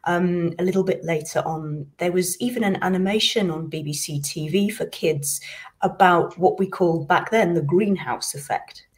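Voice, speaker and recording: A woman's voice: lowish voice, moderate pace. A woman from Britain, a poet, telling her story in a reflective way over a video call. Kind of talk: casual conversation.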